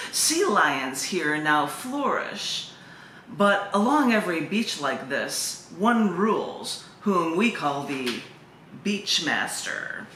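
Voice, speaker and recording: A woman who hosts an open mic, reading her poems in a deliberate, measured way with chatty introductions. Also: lowish voice, sharp tone. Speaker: open mic host and poet. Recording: echoey room, faint background noise.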